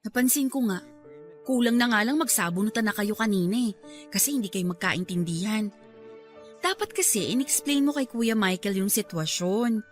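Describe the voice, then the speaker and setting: A woman's voice: mid-range voice, expressive, moderate pace, faint music behind, natural voice. A woman playing a candid, understanding younger sister in a radio drama, chiding and playfully teasing. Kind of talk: radio drama dialogue in Filipino.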